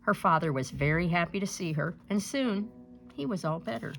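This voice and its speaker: A woman reading a children's picture book aloud with dramatic, expressive storytelling. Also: lowish voice, moderate pace, creaky. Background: music.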